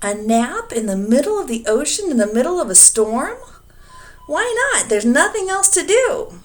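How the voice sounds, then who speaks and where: A woman voicing an anxious small boy, high and excited. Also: normal speed. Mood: exasperated.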